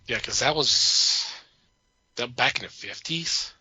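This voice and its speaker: A man chatting casually about films as a podcast co-host, in a halting way that repeats words and restarts sentences. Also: mid-range voice, animated, bright, forceful tone, slightly rough, no echo, rough recording. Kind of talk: casual film chat.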